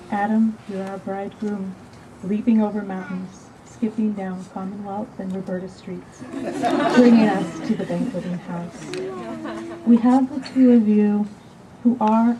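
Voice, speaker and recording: A woman officiates an outdoor garden wedding, her voice lyrical and measured as she weaves in poetry and scripture. Her tone is very dark and mellow. She is picked up from a distance, with faint noise hanging in the open air. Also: medium pitch. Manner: lyrical, measured, warm toward the couple. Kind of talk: ceremonial address, poetic readings.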